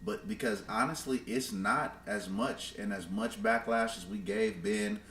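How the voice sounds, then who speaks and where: A man with a low voice talks as a podcast co-host in a casual, opinionated style. His delivery is emphatic and slangy. The recording is roomy and echoing, with some background noise. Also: a little slow, fairly bright tone.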